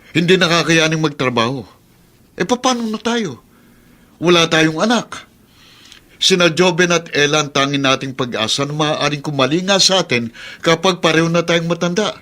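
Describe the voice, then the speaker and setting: Lalaking gumaganap na mapagpasensyang ama-amahan, maliwanag ang boses. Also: male voice, fairly high pitch, fairly bright tone, close to an everyday voice. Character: patient, protective middle-aged foster father and husband. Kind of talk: Filipino radio drama acting.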